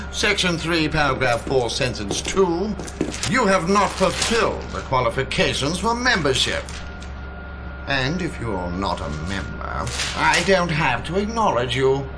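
An actor playing a smug, pompous mad scientist rival who cites rules in a video game cutscene. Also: male voice, fairly high pitch. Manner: pompous, self-satisfied, citing rules.

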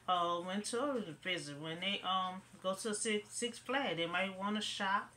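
A woman's voice: mid-range voice, unhurried, pushed and bright, slight background noise. Rambling New woman vlogging from home. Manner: rambling, many "you know" fillers. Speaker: local news vlogger. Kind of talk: casual commentary to camera. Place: bedroom at home, indoors. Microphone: webcam in front of her.

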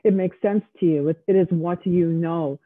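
A middle-aged woman speaking as an author on a panel, explaining in a measured, emphatic way over a video call. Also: on the low side, no room sound. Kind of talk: panel discussion answer. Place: home room with bookshelves. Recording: tinny, dry phone-like audio.

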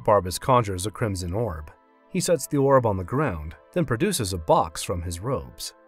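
A man's voice: fairly low pitch, very animated, moderate pace, husky, faint music behind. A man narrating a scripted fantasy story.

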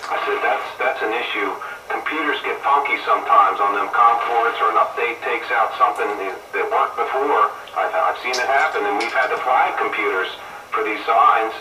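A man's voice: mid-range voice, normal speed, loud music behind the voice. A man having a casual technical chat over a two-way radio, heard through the set's speaker. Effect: two-way radio transmission. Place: picked up in a room from a radio's speaker. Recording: noisy radio speaker, distant and roomy.

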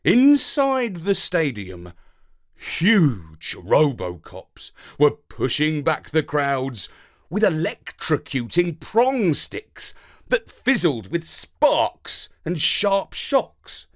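A man with a British accent narrating a children's story in a theatrical, deliberate, expressive way. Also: on the high side.

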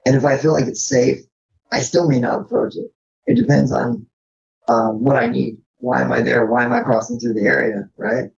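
A man with an American accent and a raspy, creaky voice talks casually as an interview guest. He explains in a practical, informal way, often checking that he is followed. The recording is dull and lo-fi. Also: medium pitch, lively intonation, moderate pace. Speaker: guest on a survival podcast.

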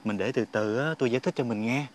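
A man's voice: medium pitch, fast talker, slight background noise, lightly acted. An actor playing a polite, dutiful young husband who respectfully cares for an old man in a television folk-tale drama. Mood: reassuring. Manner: polite and eager to please.

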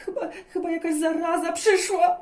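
A woman's voice: very high voice, fairly even intonation, moderate pace, darkish tone, pitched above the performer's own voice. A woman voicing a frightened messenger who stammers and quavers. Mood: terrified. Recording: echoey room.

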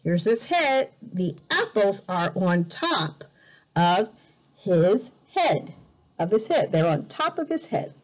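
A woman teacher reading a picture book aloud to children in a deliberate, exaggerated way, repeating words for learners, recorded indoors on a device camera. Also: mid-range voice, big pitch swings. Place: indoors in a room by a bright window.

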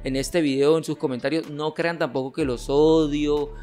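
A young man in his twenties, an olympic wrestler hosting his channel, reacting to viewer comments with emphatic, gesturing argument from a home setup on a boom mic. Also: little pitch movement, normal speed, darkish tone, soft background music. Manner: emphatic, gestures a lot while arguing. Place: home streaming room with gaming chair. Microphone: studio mic on boom arm, headset.

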